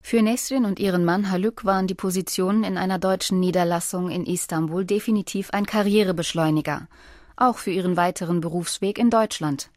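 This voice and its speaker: A woman delivers scripted documentary narration in a lowish voice. Her reading is measured and even. The recording is dry and close. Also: no room sound.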